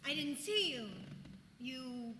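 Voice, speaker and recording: A woman's voice: high voice, lively intonation, takes it slowly, bright, forceful tone, exaggerated voice. A woman playing a startled, wary colonial goodwife in a live play reading. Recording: echoey hall, faint background noise.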